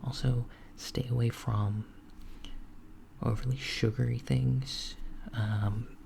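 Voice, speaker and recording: A man voices a calm, attentive alien doctor who speaks softly and hesitantly, pausing on ums and slipping into whispers. The voice is heavily gravelly and holds a flat, level pitch. A steady hiss runs under the lo-fi recording. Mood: gentle. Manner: soft-spoken, unhurried, slipping into whispers. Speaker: ASMR roleplay creator. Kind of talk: softspoken ASMR roleplay.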